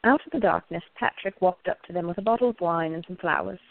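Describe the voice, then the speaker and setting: A woman's voice: lowish voice, quick pace, gravelly. A woman narrating a romantic radio play from a script. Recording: thin phone-like audio.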